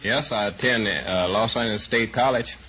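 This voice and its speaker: Through a dull, faintly noisy old radio recording comes an American man in his forties with a lowish, forceful voice. He answers as a quiz contestant, matter-of-fact and slightly hesitant. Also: normal speed. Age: 40s. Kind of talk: plain answers in an interview.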